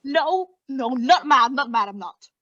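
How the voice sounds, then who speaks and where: A woman playing an obsessed mad murderer in a theatrical radio play, confessing intensely in hushed whispers and frantic outbursts. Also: high-pitched, expressive, measured pace, lo-fi sound, exaggerated voice. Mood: frantic denial.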